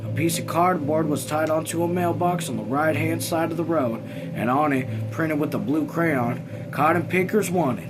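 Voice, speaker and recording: Male amateur reader, slightly high voice, halting informal novel narration over clear background music. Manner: halting, repeats phrases. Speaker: reader of a read-along video channel. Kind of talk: informal reading aloud of a novel.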